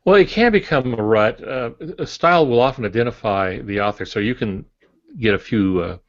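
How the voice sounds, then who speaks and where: An older man co-hosting a podcast, explaining his thoughts reflectively and haltingly in casual discussion. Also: mid-range voice, lively intonation, no room sound, highs cut off. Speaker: podcast co-host.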